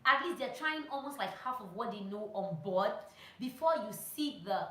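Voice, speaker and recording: A woman with a fairly high, very bright voice talks casually as she teaches on a vlog. She is animated and repeats phrases to drive points home. The sound is clearly roomy and echoey. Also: moderate pace. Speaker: vlogger and pilot sharing training tips. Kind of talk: casual instructional vlog talk with acted-out anecdotes. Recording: roomy echo.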